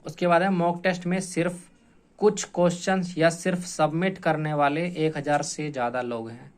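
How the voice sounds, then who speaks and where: A man's voice: on the high side, fairly even intonation, moderate pace. A man explaining like an exam coach, informal and repetitive, stressing points and often adding check-in tag phrases. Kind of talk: informal explanatory lecture to students.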